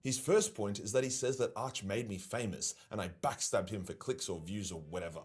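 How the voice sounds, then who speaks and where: Fast husky man, lively defensive delivery. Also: lowish voice. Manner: brisk, defensive, argumentative. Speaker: YouTuber. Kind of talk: scripted response video.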